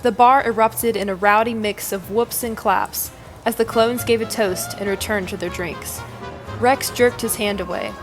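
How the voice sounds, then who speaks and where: A woman's voice: medium pitch, moderate pace. A woman reading scripted, dramatic fiction narration as the storyteller. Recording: music bed under the narration. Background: music.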